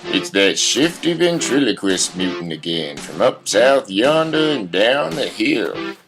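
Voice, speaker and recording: A man playing an indifferent rural father with a deadpan, folksy way of talking in an audio drama. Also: lowish voice, music in the background. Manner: unhurried, deadpan, folksy old-time phrasing. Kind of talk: surreal audio drama dialogue.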